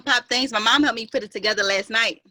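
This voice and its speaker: An actress playing a polite, apologetic youngest sister in a play reading. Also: female voice, a little high, fast, bright, forceful tone.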